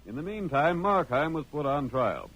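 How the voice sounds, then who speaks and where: An actor narrating a western adventure in an earnest, dramatic old-time radio style. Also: male voice, on the high side, sing-song, normal speed, fairly bright tone, muffled recording.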